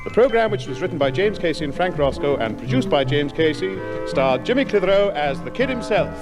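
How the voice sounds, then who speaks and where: A man's voice: a little high, very animated, a little fast. A man reading scripted closing credits as a radio announcer. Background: theme music.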